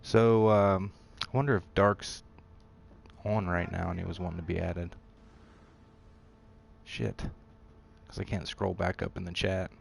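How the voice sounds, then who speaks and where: A man's voice: sits low, flat delivery, a little slow, vocal fry, long pauses, slight background noise. A man giving rambling, self-deprecating commentary while streaming a video game. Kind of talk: casual gameplay commentary.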